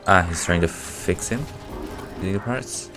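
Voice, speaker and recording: Male streamer: deep voice, casual gameplay commentary, short reactions, light background music. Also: slow. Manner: muttering short reactions, sweary. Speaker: game streamer. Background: game music.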